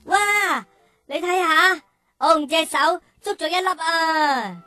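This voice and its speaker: Eager, bright-voiced little boy. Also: extremely high pitch, animated, slow, dry recording, exaggerated voice. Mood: eager, excited. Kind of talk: children's radio drama acting.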